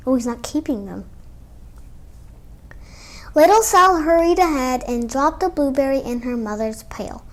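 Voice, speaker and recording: Female read-aloud host for children's storytime, notably high voice, storytelling mixed with informal asides.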